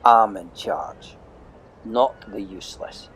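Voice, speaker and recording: A man's voice: on the low side, fairly even intonation, slow pace, a bit bright, slight background noise, poor audio. Man voicing a bully Viking boy, bossy, menacing, commanding, audio play.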